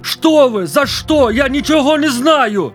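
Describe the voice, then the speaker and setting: A man voicing a bewildered, terrified policeman who pleads innocence in a shrill, panicked way in a radio drama. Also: animated, takes it slowly, faint music behind, heavily acted. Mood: panicked pleading.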